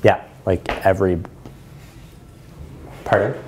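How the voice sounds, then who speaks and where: A man's voice: low-pitched, fairly even intonation, takes it slowly, darkish tone, a little hiss or hum. A man around forty with an American accent, explaining things as a presenter taking questions in a lecture room.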